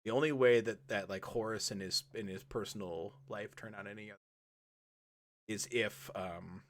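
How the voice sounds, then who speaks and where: A man's voice: fairly low pitch, steady tone, moderate pace. Rambling man in casual podcast talk. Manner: rambling, full of "like" and restarts. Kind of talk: casual roundtable chat.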